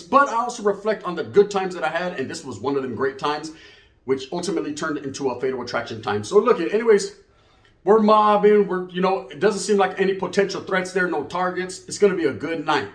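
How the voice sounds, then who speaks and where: A man with a fairly high voice and a Chicano Californian accent, recorded in an echoey room. He tells a comic story casually, full of street slang and bits of Spanish. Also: lively intonation, fairly quick, fairly bright tone. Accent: Chicano Californian American. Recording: roomy, echoey sound.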